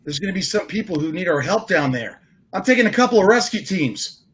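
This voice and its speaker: Quick, eager animated superhero speedster. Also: male voice, high-pitched, a little hiss or hum. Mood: urgent. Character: eager, urgent young male superhero speedster. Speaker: voice actor at a cast table read. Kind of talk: animated superhero script reading.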